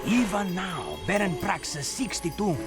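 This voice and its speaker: An actor voicing a pompous, sassy male talking bird, theatrical and snide, in an animated video game. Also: high-pitched, moderate pace, loud music behind the voice. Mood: grandly mystical.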